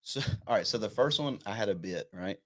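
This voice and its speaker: Young male comic guest, twenties, lowish voice, laughs at his own stories. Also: expressive, a little fast, a bit bright, dry recording. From South Carolina. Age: 20s. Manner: self-deprecating, laughing storyteller. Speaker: guest comedian. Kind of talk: casual podcast chat.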